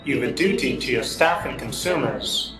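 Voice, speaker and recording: A man playing a polite artificial intelligence overseer in an audio drama, speaking formally and with measured courtesy. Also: mid-range voice, expressive, echoey room, loud music behind the voice, natural voice, rough recording. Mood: formal concern.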